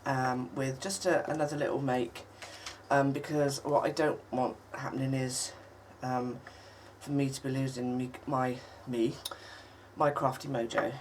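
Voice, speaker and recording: A woman with a husky, croaky voice from a lingering cold talks through a crafting project in a rambling, halting way. Her ums are frequent and a cough breaks in now and then. She sits a little back from the mic, with a faint hiss underneath. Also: a bass voice, little pitch movement. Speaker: craft channel host. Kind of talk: casual crafting tutorial. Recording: distant mic with faint noise.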